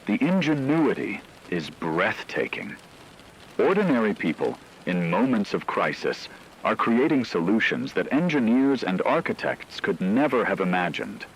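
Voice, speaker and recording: A man delivering dramatic scripted narration in a grave, measured, portentous way with deliberate pauses. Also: on the low side, sing-song, a little hiss or hum, poor audio. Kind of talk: dramatic scripted narration in the manner of a radio story.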